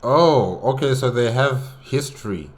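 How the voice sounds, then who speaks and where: A man reacting to a game movie, musing out loud in a hesitant, casual way. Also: medium pitch, animated, measured pace, soft background music. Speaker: reaction video host.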